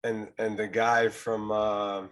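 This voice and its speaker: A man co-hosting a gaming podcast, talking loud, jokey and rambling in casual chat. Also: on the low side, level tone, takes it slowly. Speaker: podcast co-host.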